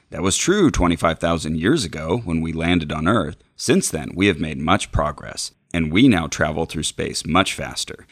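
Man voicing a male alien guide, low gravelly voice, formal, calmly instructive, audiobook-style reading, dry sound. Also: no room sound, exaggerated voice. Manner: formal, expository.

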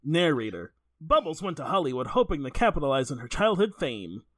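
A woman playing a screenplay narrator, reading scene directions in a declamatory, deadpan way. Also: low-pitched, a little fast, no room sound, clean studio sound, voice pushed down.

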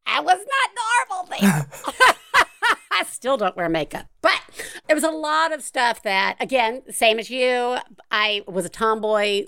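A woman from the Deep South hosting a podcast, chatting casually with lots of fillers and laughs. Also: fairly bright tone, clear, resonant tone, dry recording, studio quality. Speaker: podcast host. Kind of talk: casual interview chat.

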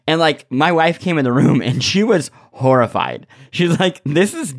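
A man's voice: on the high side, normal speed, no room sound, clean studio sound. A man co-hosting a podcast in casual comedic chat, telling stories animatedly and acting out people's reactions. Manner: animated storytelling, acting out reactions in quoted lines. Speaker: podcast co-host.

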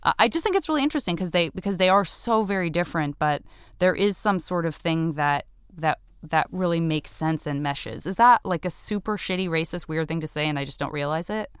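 A woman's voice: lowish voice, lively intonation, fairly quick, clear, resonant tone, dry recording, studio quality. A woman from Arizona hosting a casual chat, rambling and often restarting her sentences, poking fun at herself. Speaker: podcast host.

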